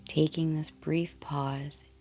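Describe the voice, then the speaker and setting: A woman guiding a yoga nidra relaxation in a soothing, gentle, unhurried way, with soft, breathy phrase endings. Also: low-pitched, little pitch movement, soft background music. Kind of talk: guided relaxation meditation.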